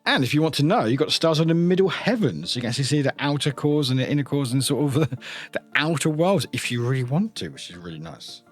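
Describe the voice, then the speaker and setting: A man's voice: medium pitch, very animated, fast, soft background music. A man presenting an unboxing in a casual show-and-tell style, with informal, loosely phrased and appreciative commentary. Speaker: product reviewer, unboxing host. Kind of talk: casual show-and-tell commentary, sometimes reading blurbs aloud.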